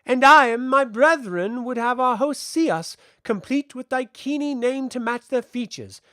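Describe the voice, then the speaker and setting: Man voicing a lofty, high-pitched otherworldly child. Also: unhurried, no echo, full character voice. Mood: lofty, proud. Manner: infernally calm, formal archaic speech. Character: ancient elemental being in child form, calm and lofty. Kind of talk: audiobook fantasy character voice.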